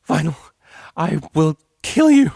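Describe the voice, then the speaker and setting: Man voicing a prim, indignant female pony, pitched up. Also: sing-song, slow pace, gravelly, mellow, no room sound, studio quality, exaggerated voice. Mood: protesting, laughing.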